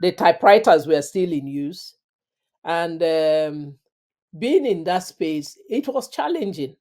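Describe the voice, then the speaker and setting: A woman's voice: fairly low pitch, lively intonation, slow, a little mellow. A middle-aged woman with an African accent, telling her career story in an interview in an earnest, emphatic way and repeating phrases for stress. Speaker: interview guest. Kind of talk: reflective personal storytelling.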